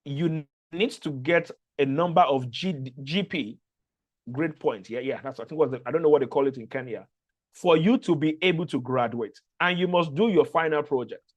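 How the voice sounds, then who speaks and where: A Nigerian man whose first language is not English addresses a new fellowship cohort. His voice sits a little high, and he talks earnestly, restarting words and repeating phrases as he goes. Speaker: fellowship organizer. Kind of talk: onboarding talk to a fellowship cohort.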